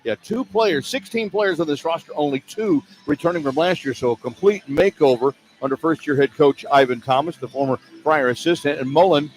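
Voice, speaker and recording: A man's voice: a little high, a little fast, hardly any pauses. An American man doing live play-by-play broadcast commentary for radio as an announcer. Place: indoor sports arena. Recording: noisy live arena broadcast. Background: arena ambience and noise.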